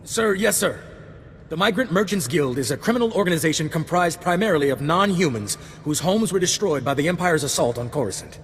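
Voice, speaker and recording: A dutiful male corporal from a video game, with a slightly high voice. He briefs in crisp, deferential military fashion, with long reverb and faint noise behind. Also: animated, fairly quick. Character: dutiful, eager junior male army corporal.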